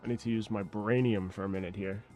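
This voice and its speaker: Man, lowish creaky voice, casual sweary muttering, livestream host, clear background music. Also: steady tone, fast, a little mellow. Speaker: streamer drawing live. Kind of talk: casual chat, thinking aloud while drawing.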